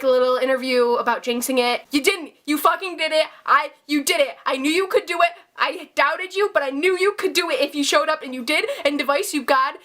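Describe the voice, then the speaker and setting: A woman fan vlogging from her bedroom into a handheld camera, gushing excitedly and swearing freely. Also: high voice. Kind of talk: casual reaction vlog. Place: hot bedroom at home.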